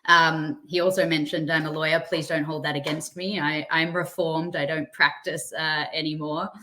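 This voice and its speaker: Aussie woman, low voice, friendly and chatty, talking through a webinar. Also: level tone, normal speed, bright, forceful tone. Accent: Australian. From Australia. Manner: friendly, chatty, self-deprecating. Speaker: guest speaker. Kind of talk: presentation on a webinar.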